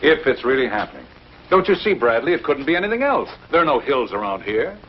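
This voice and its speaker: A man's voice: medium pitch, sing-song, fast talker, natural voice. A man playing a scoffing big-city newspaperman in an old 1950s television drama, sarcastic and mocking. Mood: sardonic, scoffing. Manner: sarcastic, mocking, theatrical when reading aloud. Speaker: actor in a TV drama. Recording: dull, noisy old TV soundtrack.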